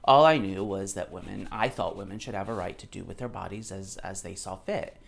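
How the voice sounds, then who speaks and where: Emphatic man in his thirties, creaky low voice. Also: fairly quick. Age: 30s. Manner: argumentative, emphatic, repeating key phrases. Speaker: commentator reading a book aloud and responding. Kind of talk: casual reaction commentary, alternating reading aloud and off-the-cuff response.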